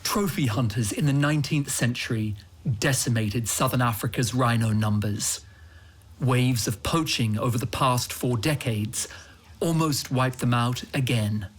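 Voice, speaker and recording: A man, a foreign correspondent giving measured, scripted documentary narration. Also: medium pitch. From Australia. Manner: measured, earnest storytelling. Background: music.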